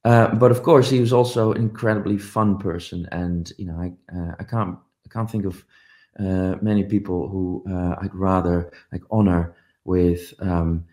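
A man's voice: sits low, steady tone, moderate pace, warm tone. A middle-aged man giving a commemorative conference talk over a video call, telling stories with many ums and uhs. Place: home office, indoors. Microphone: video call webcam.

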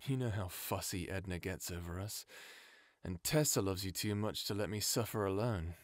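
An actor playing a tender, teasing man saying goodbye, speaking softly with wry humor in a husky voice. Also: deep voice, normal speed, dry recording, studio quality, natural voice. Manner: soft and gentle, with wry humor. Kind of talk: full-cast audiobook acting.

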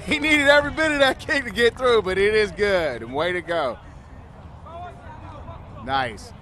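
A man's voice: high-pitched, expressive, unhurried, sharp tone, some chatter behind, slight background noise. A man commentating a youth rugby match at an outdoor field, explaining the rules and lifting his voice on big plays. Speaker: play-by-play commentator. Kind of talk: live sports commentary.

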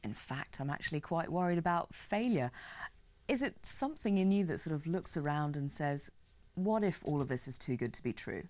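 Low-voiced woman TV interviewer. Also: expressive, darkish tone. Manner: measured, probing questions. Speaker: interviewer, show host. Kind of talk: broadcast TV interview.

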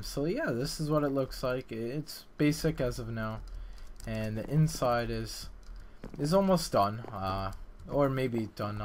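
A man's voice: mid-range voice, a little hiss or hum. A man giving casual, hesitant gameplay commentary in a rambling, self-deprecating way, as the developer of a game map. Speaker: map developer narrating a dev vlog.

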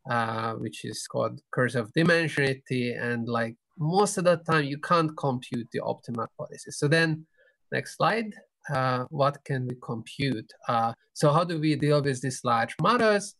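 Middle-aged male academic keynote speaker, mid-range voice, halting explanatory delivery, close dry video-call sound. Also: mid-range voice, lively intonation, gravelly, a bit bright, no echo. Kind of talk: academic conference lecture with slides. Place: indoor room, video call.

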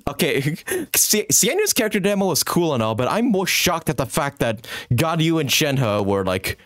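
Excitable young man with lively pitch. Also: moderate pace, no room sound, studio quality. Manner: excitable, slangy, swearing. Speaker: streamer reacting to a game trailer. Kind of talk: casual reaction commentary.

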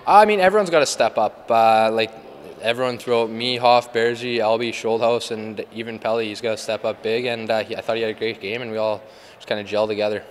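A man's voice: fairly low pitch, steady tone, fairly quick. A young man, a hockey player giving casual postgame answers into a handheld microphone. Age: young adult. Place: indoors before a sponsor backdrop at an arena. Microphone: handheld microphone held by interviewer. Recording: faint ambient background noise.

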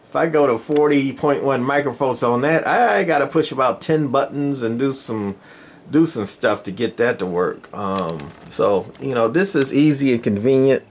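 Older male workshop host, folksy, halting, casual demo talk, mid pitch. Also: lively intonation. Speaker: radio repair channel host. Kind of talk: casual equipment demonstration. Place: indoor electronics workshop.

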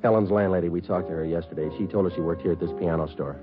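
Deadpan detective , played by a man, low voice, very fast, tinny old radio sound. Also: over music, close to an everyday voice. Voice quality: clipped, deadpan. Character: terse male police sergeant, clipped and relentless. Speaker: police detective. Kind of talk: 1940s radio acting. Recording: tinny 1940s radio recording.

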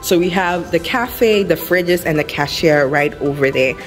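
A young woman chatting casually and excitedly to viewers as a vlogger and business owner, recorded on a camera at arm's length. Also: on the low side, a little slow, faint music behind, poor audio. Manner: excited, upbeat. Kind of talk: casual vlog chat to viewers. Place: outdoor storefront and bare empty shop room.

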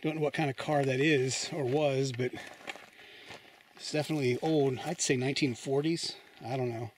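A man's voice: mid-range voice, steady tone, moderate pace, slight background noise. Man musing aloud while exploring. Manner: musing, hesitant, thinking aloud. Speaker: explorer vlogger. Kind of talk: casual walkthrough narration. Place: inside an abandoned mine and outdoors in desert.

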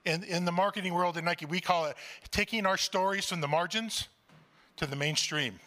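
Bright-voiced man, heartfelt halting speech. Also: sits high. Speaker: award recipient speaking on stage. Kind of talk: public speech. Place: conference stage in an auditorium. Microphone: handheld microphone.

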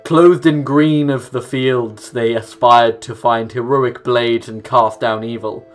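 A man's voice: medium pitch, steady tone, slow pace. A young man giving casual let's play commentary, reading game text aloud and adding offhand asides. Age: young adult. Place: small room at home, indoors. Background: game music with plucked guitar.

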